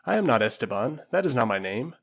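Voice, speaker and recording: A man reading a novel aloud, voicing a calm jungle lord in a firm, level, commanding way. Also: medium pitch, fast talker, vocal fry, breathy edge, heavily acted.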